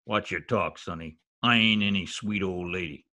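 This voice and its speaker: A man playing a gruff, blunt gangster boss with tough slangy talk in a 1940s radio crime drama. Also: low-pitched, moderate pace, sharp tone, lo-fi sound. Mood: indignant, tough. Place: home, recorded over video call.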